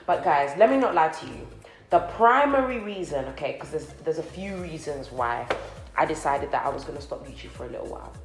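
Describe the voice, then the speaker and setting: A chatty young woman talks casually to her viewers, rambling a little as she does her makeup. Her voice is low for a woman and slightly nasal from a cold. The room sounds echoey, with faint music underneath. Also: expressive, fairly quick. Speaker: beauty vlogger. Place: co-working office space, indoors.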